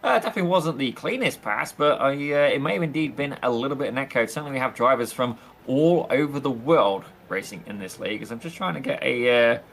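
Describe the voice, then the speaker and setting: A man doing theatrical play-by-play commentary for a sim racing league, addressing viewers as ladies and gentlemen. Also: medium pitch, brisk, vocal fry, few pauses. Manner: excitable, theatrical, keeps saying "ladies and gentlemen" and "indeed". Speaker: lead race commentator. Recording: noticeable background noise.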